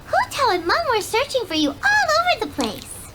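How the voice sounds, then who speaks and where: A woman voicing a tiny, excitable, childlike fairy companion in a video game, blunt and incredulous. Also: very high-pitched, moderate pace, very noisy, exaggerated voice. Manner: refers to self in third person, incredulous. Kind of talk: animated game voice acting. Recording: music behind the voice.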